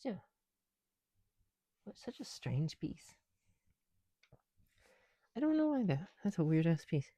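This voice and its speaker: Soft mellow man chatting casually. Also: fairly high pitch, big pitch swings, slow, long pauses, dry recording, dull audio. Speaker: ASMR video creator. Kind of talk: casual ASMR chat while building bricks. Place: small room at home, on the floor.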